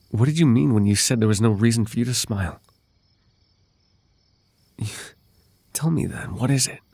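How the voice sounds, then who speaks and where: A man voicing a possessive fae royal in an ASMR roleplay, courtly and coaxing with soft menace under a silky, creaky voice. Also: fairly low pitch, no room sound, full character voice. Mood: suspicious, defensive. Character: possessive, silky male fae royal wooing a captive lover. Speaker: voice actor.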